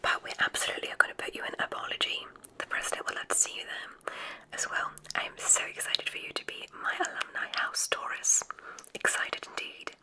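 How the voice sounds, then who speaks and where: A woman whispering softly in an ASMR roleplay as a kindly witch examiner at a magic school, speaking in a soothing, deliberate, formal way. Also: low-quality recording. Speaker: ASMR performer.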